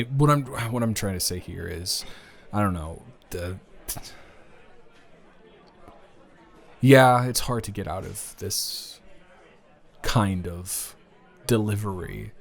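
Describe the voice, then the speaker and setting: A man's voice: low-pitched, big pitch swings, creaky, heavily acted. A man playing a confused, hesitant friend with benefits who stammers and hedges through an improvised intimate audio roleplay. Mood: flustered, hesitant. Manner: halting, stammering, hedging.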